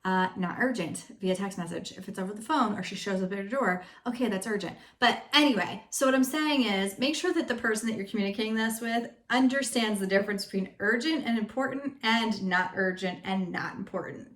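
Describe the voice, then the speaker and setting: A woman's voice: mid-range voice, a little fast, hardly any pauses, fairly bright tone. Chatty woman giving advice in a roomy space. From Greek family background. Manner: chatty and candid, with frequent fillers. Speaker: life and relationship coach. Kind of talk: casual advice talk to camera. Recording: roomy echo.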